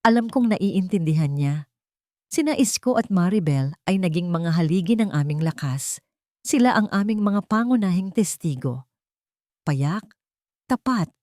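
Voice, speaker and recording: A woman with a fairly low voice narrates a scripted drama. Her delivery is deliberate, solemn and full of feeling, recorded close and dry. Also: dark tone, no echo. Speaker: audio story narrator. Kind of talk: dramatic scripted first-person story narration.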